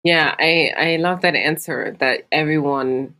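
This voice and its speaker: A woman's voice: low-pitched, measured pace, sharp tone. A woman hosting a podcast, asking long thoughtful questions in a conversational interview. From Africa. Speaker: podcast host.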